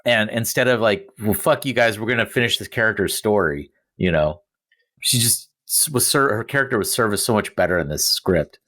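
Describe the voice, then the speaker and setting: A man chatting casually and giving his opinions as a podcast panelist, with somewhat halting phrasing. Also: on the low side, sharp tone.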